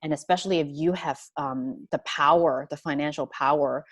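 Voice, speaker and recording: A woman's voice: sits low, moderate pace, no echo. A woman from Hong Kong answering as a panelist in a discussion, earnest and thoughtful, with frequent fillers and restarts.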